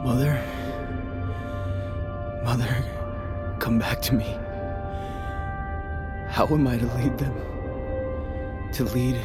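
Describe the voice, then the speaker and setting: An actor playing a solemn young leader, lost and burdened, in a brooding audio drama performance. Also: male voice, medium pitch, fairly even intonation, loud music behind the voice, close to an everyday voice. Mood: pleading grief. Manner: slow, brooding, halting.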